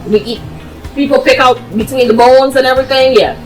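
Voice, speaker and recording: A woman's voice: sits high, fairly even intonation, brisk, poor audio. Woman chatting casually over music. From Guyana, raised in Barbados. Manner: chatty, explanatory, peppered with "you know". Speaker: restaurant owner, interview guest. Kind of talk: casual interview chat.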